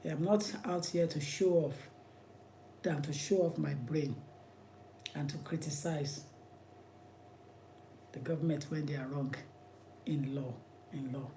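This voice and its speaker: A man's voice: a little high, steady tone, a little slow, pauses often, warm tone, slight background noise. A man with a Nigerian accent, an immigration lawyer giving impassioned commentary in an emphatic, repetitive, preacherly way.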